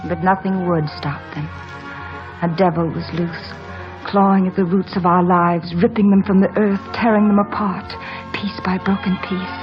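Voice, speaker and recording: A woman's voice: fairly low pitch, steady tone, moderate pace, natural voice. An actress playing a passionate, desperate young married woman in torment, in an impassioned, melodramatic old radio drama style. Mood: haunted, grieving. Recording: muffled old radio broadcast, music underneath.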